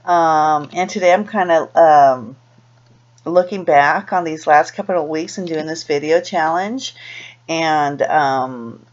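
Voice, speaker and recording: A woman's voice: sits low, slow pace, dull audio. A middle-aged American woman, a native Spanish speaker raised in Los Angeles, chatting casually and a little hesitantly to camera in a rambling way. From Los Angeles, USA, born in Peru. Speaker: video blogger. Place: small room indoors.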